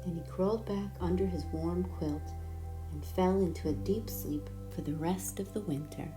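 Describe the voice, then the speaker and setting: A woman's voice: lowish voice, moderate pace, music in the background, poor audio. A woman narrating a children's storybook aloud. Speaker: storybook reader.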